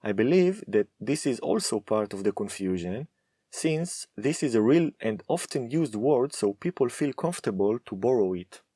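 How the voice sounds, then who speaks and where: A man teaching a Hebrew lesson, speaking slowly and deliberately with firm emphasis. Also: medium pitch, expressive, mellow, breathy edge, dry recording. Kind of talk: scripted lesson to camera.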